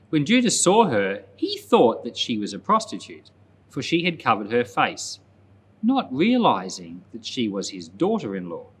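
A man speaking as a pastor, reading and explaining scripture aloud in a measured, plain-spoken way. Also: mid-range voice, a bit bright, a little hiss or hum. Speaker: pastor, Bible podcast host.

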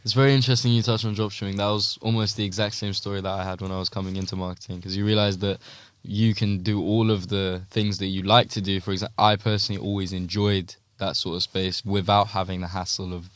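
Deep, flat-voiced male podcast host. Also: no echo. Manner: halting, with many ums and trailing phrases. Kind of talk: casual interview questions.

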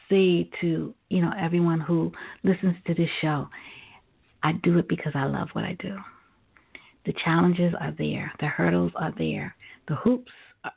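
A woman hosting a live internet talk radio show with a halting, reflective delivery. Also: lowish voice, gravelly, slightly rough. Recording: phone-like lo-fi internet radio line.

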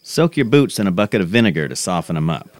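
Man acting a low-voiced folksy logger. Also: big pitch swings, fast talker, no echo. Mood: folksy, wry. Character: plain-spoken 1890s logger offering gruff advice. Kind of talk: storyboard film acting.

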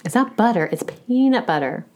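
An adult woman with a loud voice, co-hosting a casual chat in a playful, teasing way. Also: a little high, expressive, brisk. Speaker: podcast co-host.

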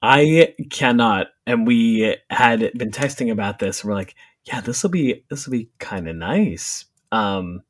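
A man's voice: lively intonation, takes it slowly, hardly any pauses, no room sound. A man co-hosting a casual podcast, teasing and dry in his humor. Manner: dry, teasing, drops low when joking. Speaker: podcast co-host. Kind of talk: casual podcast chat and interviewing.

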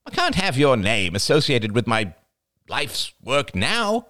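A man's voice: mid-range voice, wildly swooping pitch, measured pace, pushed and bright, pitched above the performer's own voice, heavily acted. A man voicing a blunt, scolding older woman, a veteran of her industry, who snaps at her niece. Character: brusque older woman, veteran media aunt.

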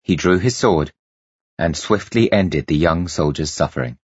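Male storyteller for dramatic fiction narration; low, slightly rough voice, measured and expressive. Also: no echo, dull audio. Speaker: audiobook narrator.

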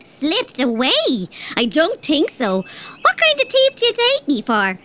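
A woman's voice: high-pitched, wildly swooping pitch, unhurried, fairly bright tone, a little hiss or hum, heavily acted. An actress with an Irish accent playing a tough, bossy robber girl who also narrates. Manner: bossy, threatening, blunt. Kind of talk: audio drama acting.

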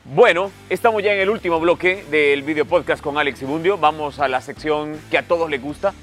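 A Salvadoran man with a fairly high voice hosts a casual sports show. He moves between teasing banter and sponsor reads while music plays faintly behind him. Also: brisk. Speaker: podcast host.

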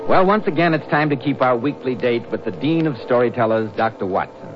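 A man's voice: medium pitch, lively intonation, a little fast, loud music behind the voice. An American man announcing a 1940s radio show in a polished, chummy salesman's style. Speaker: announcer and sponsor pitchman. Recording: dull lo-fi 1940s radio recording.

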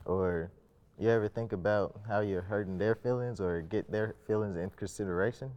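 Young man with a clear, low voice. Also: normal speed, in a large hall, lo-fi sound. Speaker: student panelist. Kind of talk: casual panel answer.

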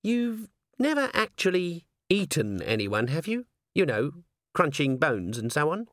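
A man's voice: on the high side, sing-song, measured pace, a bit bright, dry recording, studio quality, voice pushed up. A man voicing a shy, nervous young female dwarf constable who is awkwardly polite and stammers hesitantly in a storybook reading. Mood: uneasy. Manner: hesitant, stammering, fumbling over words.

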